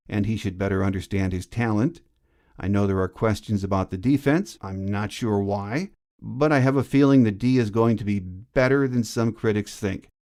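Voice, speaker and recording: Lower-pitched male sports podcast host. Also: darkish tone. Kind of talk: sports podcast monologue and interview.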